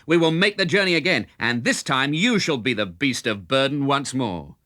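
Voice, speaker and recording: A man's voice: medium pitch, lively intonation, normal speed, bright, forceful tone, pitched above the performer's own voice. A man voicing a bold, cheeky outlaw hero in a children's storybook, declamatory and teasing. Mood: triumphant. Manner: gleeful, taunting, declamatory. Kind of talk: children's storybook character reading.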